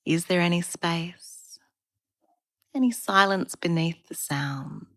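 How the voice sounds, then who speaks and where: A woman guiding a relaxation practice as a yoga therapist, speaking in a calm, soothing, unhurried manner with pauses. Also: lowish voice, no echo, clean studio sound. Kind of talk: guided meditation narration.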